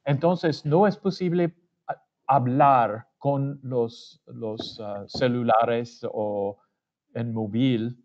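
A male learner speaks Spanish very slowly, pausing often to hunt for words. His pitch is middle, and his voice sounds muffled, as it would over a web call. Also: a little mellow. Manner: hesitant, searching for words. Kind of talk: halting learner Spanish in a class. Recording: muffled web meeting audio.

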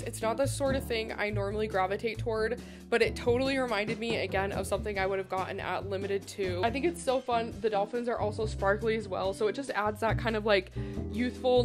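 A young woman in her twenties chatting casually through a thrift try-on haul, bubbly and full of "like" fillers, speaking into a handheld recorder in a bright room. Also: mid-range voice. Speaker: thrift vlogger. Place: bright indoor room with shelf backdrop. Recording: background music under voice. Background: background music.

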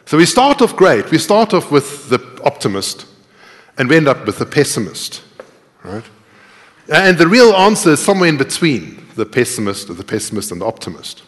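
Lively middle-aged man lecturing in reverberant hall. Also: a little high, normal speed. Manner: conversational, explains step by step, puts questions to the audience. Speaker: conference speaker and programming instructor. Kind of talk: live coding technical talk to an audience. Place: large tiered lecture auditorium, indoors.

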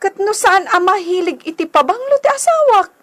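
A woman acting as a questioning Filipino wife in a radio drama. Her voice is extremely high and rises and falls in a lively way. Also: normal speed. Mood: puzzled, questioning. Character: wife questioning a friend about her husband.

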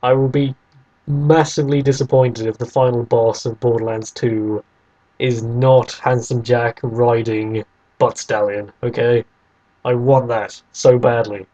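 A man's voice: medium pitch, flat delivery, unhurried, dark tone. Dull-sounding young man, teasing podcast host. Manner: teasing, sarcastic. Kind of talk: casual banter about video games.

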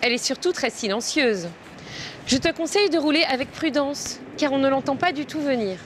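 Careful, by-the-rules woman in her twenties, high voice, faint street noise. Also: lively intonation, a little fast. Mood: cautious, insistent. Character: cautious, rule-minded young woman passenger. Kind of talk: scripted French lesson dialogue. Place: outdoors on a city street, by and in a car.

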